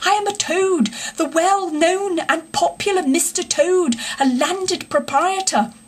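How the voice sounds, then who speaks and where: A woman's voice: sits high, animated, normal speed, slight background noise, pitched above the performer's own voice. A woman voicing a vain, panicky male toad in a storybook, grandiose, self-pitying and pleading. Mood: desperate pleading.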